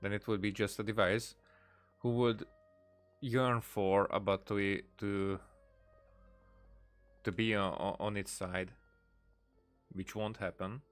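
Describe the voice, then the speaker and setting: A man giving casual live game commentary as a streamer, halting and searching for his words. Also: lowish voice, steady tone, faint music behind.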